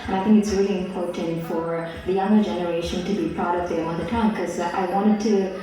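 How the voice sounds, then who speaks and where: A woman musician whose first language is Tamil talks earnestly in an interview, pausing on fillers. Her voice sits fairly low. She sounds distant in a very echoey room. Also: steady tone, warm tone, faint music behind. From Tamil-speaking background.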